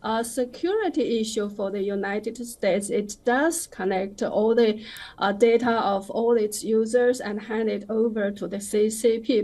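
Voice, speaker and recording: Slow-speaking, higher-pitched woman commentator. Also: darkish tone. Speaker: interview guest, commentator on China. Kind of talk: live news interview commentary.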